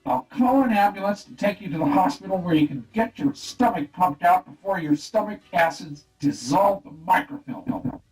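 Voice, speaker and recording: An actor playing a practical, exasperated police sergeant in a comic detective radio play. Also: male voice, sits high, normal speed, a little mellow, distant mic, soft background music, poor audio. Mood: patient, exasperated.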